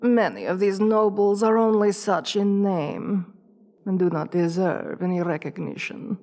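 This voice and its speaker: A woman playing an imperious, seductive vampire queen in an ASMR roleplay, commanding and teasing with condescending, deliberate delivery. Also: mid-range voice, mellow, slight background noise, natural voice. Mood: smug, seductive. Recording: long reverb with music behind.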